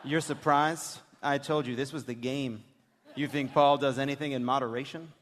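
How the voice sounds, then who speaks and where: A man's voice: mid-range voice, steady tone, fairly bright tone, voice pushed down, lightly acted. Man reading as a jaded, scornful daughter, echoing hall. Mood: dry, knowing. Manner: dry, deliberate dramatic reading. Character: politician's adult daughter texting, candid, jaded, dryly scornful. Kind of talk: comic dramatic reading on stage. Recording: reverberant theater, some noise.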